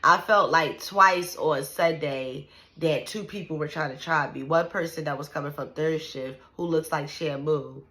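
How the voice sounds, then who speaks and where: A woman in her early thirties, talking straight to her viewers and venting about her life. She is blunt and profane and fills her talk with "like." Her voice sits a little low but comes across bright and forceful. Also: unhurried, hardly any pauses. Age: 30s. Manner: blunt, profane and animated, trailing "like" and "you know what I'm saying". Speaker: vlogger. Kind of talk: casual venting to the camera.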